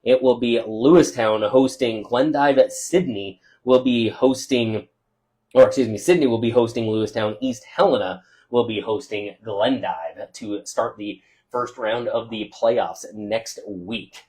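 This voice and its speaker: A man who is a local radio sports broadcaster, loudly giving a chatty postgame wrap-up of scores and stats with many uh fillers, from a press box above a football field. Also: mid-range voice, a little slow.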